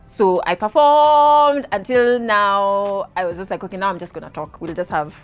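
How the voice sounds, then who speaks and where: A woman's voice: medium pitch, normal speed, smooth. Kenyan woman in her 30s, chatty guest telling stories, laughs a lot, faint music behind. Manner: animated, laughing, mixes in Swahili. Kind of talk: casual storytelling interview.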